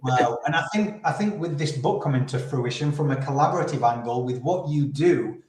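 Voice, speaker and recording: A man from the UK with a Yorkshire accent, hosting a live online interview in an upbeat, energetic way. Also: medium pitch, a little fast, roomy sound. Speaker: host.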